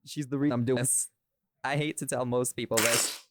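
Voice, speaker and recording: A man's voice: mid-range voice, steady tone, a little fast, noisy background. A man hosting a casual behind-the-scenes podcast chat in a rambling, informal way. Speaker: podcast host and audio creator.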